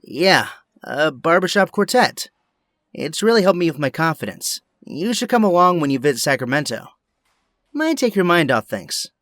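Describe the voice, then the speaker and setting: A man's voice: fairly high pitch, moderate pace, no echo, clean studio sound. A man playing an upbeat, supportive young superhero friend in an audio drama, talking in an encouraging way. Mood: friendly, encouraging. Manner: encouraging, animated.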